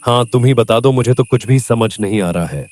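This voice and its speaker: A man playing a worried older farmer and father in a Hindi cartoon story, speaking earnestly and in measured phrases. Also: medium pitch, music in the background, lightly acted. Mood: troubled. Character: worried old farmer, father of three lazy sons.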